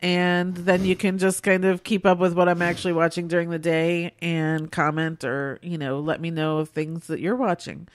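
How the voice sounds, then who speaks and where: A woman's voice: on the low side, little pitch movement, normal speed, few pauses, no echo, studio quality. A sick woman with a nasal, congested voice, co-hosting a casual podcast and rambling chattily through movie reviews. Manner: chatty, rambling, laughs often. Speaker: podcast co-host.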